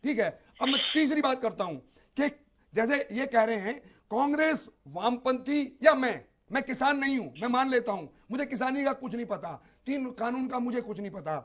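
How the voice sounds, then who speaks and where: Guy on a debate panel, really high pitched, repeats himself hard, dull audio. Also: lively intonation, moderate pace, fairly bright tone. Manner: emphatic, repeats phrases, numbers his points. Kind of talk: argumentative political debate.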